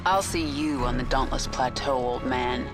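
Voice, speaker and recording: Game swarm queen, cold, authoritative, low voice, faint music. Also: moderate pace. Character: commanding female queen of an alien swarm.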